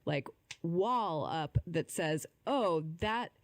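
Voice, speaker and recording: A woman's voice: fairly low pitch, expressive, very slow, no echo, studio quality. Earnest woman explaining holistic healing. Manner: earnest, fluent explaining with filler words. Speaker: podcast guest, holistic healer. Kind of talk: conversational interview answers.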